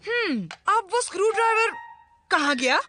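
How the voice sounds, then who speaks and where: A woman's voice: extremely high pitch, sing-song, moderate pace, full character voice. A woman voicing a feisty, bossy young boy in a cartoon dub, scrappy and sarcastic. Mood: annoyed. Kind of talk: dubbed cartoon acting. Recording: loud music behind the voice.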